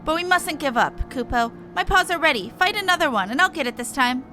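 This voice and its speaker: A woman's voice: high voice, expressive, brisk, pushed and bright, soft background music. A woman voicing a boastful, gallant little male moogle adventurer from a video game, with a pompous manner. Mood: insistent. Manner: pompous, florid heroic speech. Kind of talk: reading game dialogue in character.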